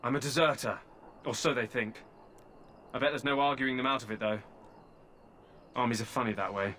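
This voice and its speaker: A man's voice: mid-range voice, fairly even intonation, normal speed, a bit bright, slight background noise, lightly acted. A man doing a wry, wheedling caged prisoner. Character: hungry, flirtatious male prisoner, a deserter. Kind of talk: video game voice acting.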